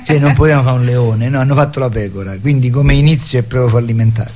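A man's voice: medium pitch, quick pace, a little mellow, slight background noise. A man chatting casually as a theatre guest, wry, provocative and rambling. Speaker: theatre performer, radio guest. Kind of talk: casual chat.

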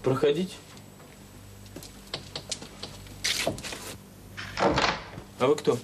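Actor as young son, faintly noisy old tape. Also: male voice, on the high side, rapid-fire, lightly acted. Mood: puzzled. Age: young adult. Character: caring, attentive grown son about to marry. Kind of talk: Soviet audio drama acting.

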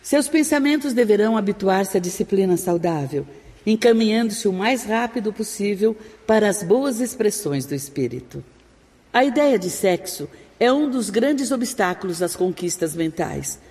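Stern spirit instructor, husky, echoing. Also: medium pitch, animated, darkish tone. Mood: grave, instructive. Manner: formal, preaching, deliberate. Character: solemn, stern spirit instructor of moral discipline. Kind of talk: radio drama acting. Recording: long reverb, music behind.

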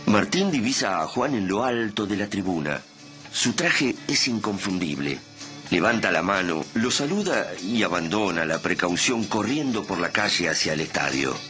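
A man narrating a radio play in a solemn, measured, dramatic style. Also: fairly low pitch, big pitch swings. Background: dramatic music.

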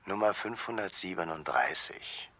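An actor playing a bitter, mocking escaped convict out for revenge in a radio crime drama, sardonic and deliberate, with a gravelly voice. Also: male voice, low voice, animated, sharp tone, full character voice. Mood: sardonic menace.

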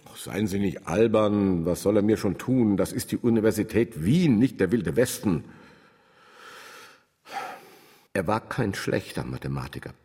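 An actor playing a dignified, touchy middle-aged professor, formal, dry and measured, in a German radio drama. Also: male voice, fairly low pitch, expressive, mellow, husky. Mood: dismissive, pensive. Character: dignified, touchy Viennese philosophy professor chairing a discussion. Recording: long hall reverb.

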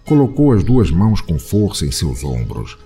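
A very deep, mellow male voice with a Brazilian accent narrates over music. He swings his pitch widely, telling a comic audio drama with deadpan drama. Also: normal speed, husky. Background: music bed.

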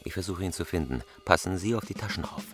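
An actor playing a cold, unflappable professional armed robber in a radio crime drama, terse, commanding and matter-of-fact. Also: male voice, low voice, expressive, fast, a bit bright, faint music behind. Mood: low, wary.

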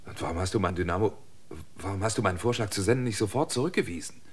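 A man playing a shaken, wary figure in a German radio drama, husky and halting. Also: lowish voice. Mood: urgent. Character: shaken, weary man warning a friend, wary.

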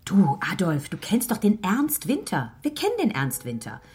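An actress playing a curious, fretful middle-aged butcher's wife in a radio drama. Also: female voice, medium pitch, wildly swooping pitch, normal speed, a little mellow. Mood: curious insistent. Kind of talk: German radio drama acting.

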